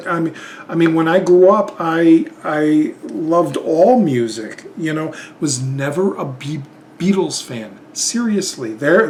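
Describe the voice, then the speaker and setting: A middle-aged man chats loosely to camera, stopping and restarting his sentences with plenty of ums. His voice sits fairly high for a man. A faint hum runs underneath. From Chicago, Illinois. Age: 50s. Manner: rambling, hesitant, many fillers and restarts. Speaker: YouTube channel host. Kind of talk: casual tag video monologue.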